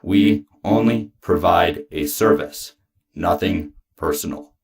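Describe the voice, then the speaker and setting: A man with a very deep voice recites poetry at a slow pace. His delivery is flat and official, laid out in clipped phrases like a form being read aloud. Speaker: poetry reader.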